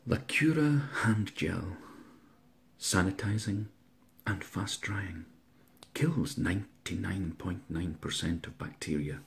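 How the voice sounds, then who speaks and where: A man's voice: low-pitched, slow, vocal fry, husky. A man, an author speaking informally and reciting verse aloud. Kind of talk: informal introduction and dramatic poetry recital.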